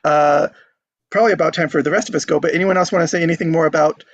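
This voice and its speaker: A man's voice: on the high side, very fast, muffled recording. A man hosting an online script reading group, chatting casually.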